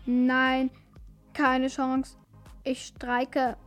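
A female performer playing a stern, matter-of-fact household robot in a children's radio play, instructing in a deliberate way. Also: high voice, completely flat delivery, soft background music. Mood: firm, matter-of-fact.

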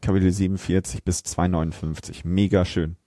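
A man's voice: deep voice, little pitch movement, fairly quick, mellow, no echo, clean studio sound. A man co-hosting a podcast in casual chat, jumping in to correct and confirm details as he discusses. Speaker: podcast host. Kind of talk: casual chat reviewing a film.

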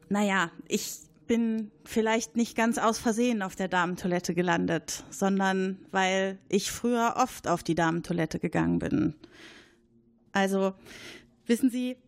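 A woman's voice: mid-range voice, vocal fry. A woman playing a middle-aged colonel who is secretly a woman passing as a man, talking hesitantly and evasively in an improvised roleplay. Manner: hesitant, evasive, then confiding in hushed tones. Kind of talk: improvised murder-mystery roleplay.

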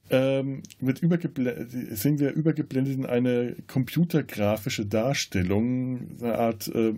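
A man's voice: medium pitch, warm tone, breathy edge. A man talks about a film as a podcast host in a casual way, often searching for words. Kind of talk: casual film discussion with scene retelling.

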